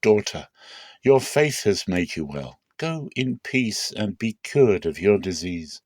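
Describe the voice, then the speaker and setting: A man voicing a calm, authoritative teacher and healer in a measured, solemn dramatic scripture reading. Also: fairly low pitch, dry recording, clean studio sound, voice pushed down, heavily acted. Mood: calm, reassuring.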